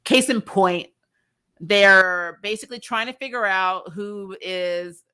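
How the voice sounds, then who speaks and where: Female recap host, bright forceful voice, chatty and slangy, blunt opinions with mock quotes. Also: mid-range voice, takes it slowly, smooth. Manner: animated, slangy and sweary, breaking into laughter; acts out dialogue in mocking quotes. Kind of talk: casual, opinionated review chat to viewers.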